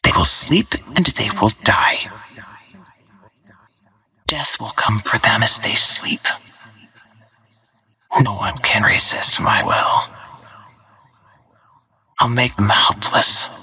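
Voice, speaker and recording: An actress playing a cold, ruthless female assassin in a video game, speaking in a breathy, menacing, self-assured way with clipped phrases. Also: rumbling low, takes it slowly, long pauses, pushed and bright, full character voice. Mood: cold menace. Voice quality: breathy, whispery. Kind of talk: video game character voice lines.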